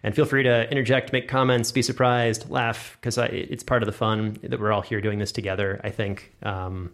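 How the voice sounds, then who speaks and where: A man's voice: lowish voice, little pitch movement, moderate pace, fairly bright tone. A man hosting a casual group chat in a jokey, encouraging way. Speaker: host and game master of an audio drama show.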